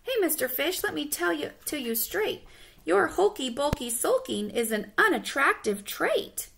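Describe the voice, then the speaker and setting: Chiding undersea busybody for a picture book, done by a female reader: coaxing, rhyming, higher-pitched. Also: sing-song, unhurried, vocal fry, a bit bright, a little hiss or hum, heavily acted. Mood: blunt. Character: chiding female and male sea creatures giving cheery advice. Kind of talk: children's storybook read-aloud.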